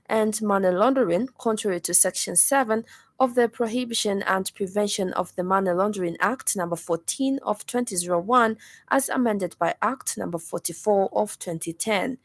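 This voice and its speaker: A woman news reader with a Zambian accent delivers a formal bulletin in measured phrases. Her voice sits at a middle pitch, recorded close and dry in a radio studio. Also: no room sound. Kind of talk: scripted news bulletin reading.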